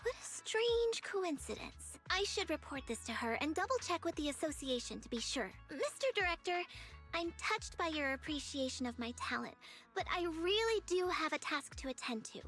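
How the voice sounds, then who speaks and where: Earnest, very high-pitched young disciple. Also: female voice, moderate pace, faint music behind, heavily acted. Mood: apologetic. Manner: polite, earnest, expressive. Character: earnest, polite young female martial arts disciple. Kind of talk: anime-style video game acting.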